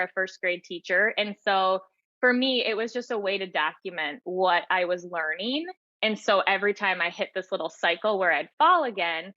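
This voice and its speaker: A woman's voice: medium pitch, sharp tone, no echo, highs cut off. A woman speaking as an interview guest, reflecting on her own experience in an earnest, explanatory way. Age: 30s or older. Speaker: podcast guest, author and teacher. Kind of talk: reflective personal interview talk.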